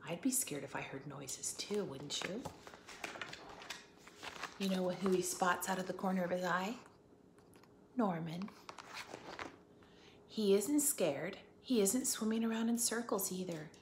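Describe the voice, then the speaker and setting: A woman teacher reading a picture book aloud for children, expressively, pausing to put questions to her listeners. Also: lowish voice. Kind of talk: animated read-aloud for children with asides and questions. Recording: echoey room, faint background noise.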